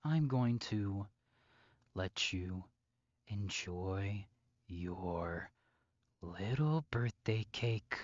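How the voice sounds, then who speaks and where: Man acting a slow, menacing obsessive captor lover. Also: on the low side, expressive, no echo, muffled recording, heavily acted. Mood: cold devotion. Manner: slow, drawn-out, mock-tender, often dropping to whispers. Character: obsessive male yandere psychopath captor, possessive and menacing. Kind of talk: intimate roleplay audio drama monologue.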